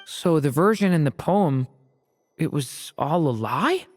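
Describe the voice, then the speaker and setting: A man playing an earnest, gentle young traveler hero in an anime game. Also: a little high, expressive, unhurried, faint music behind. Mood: earnest, questioning.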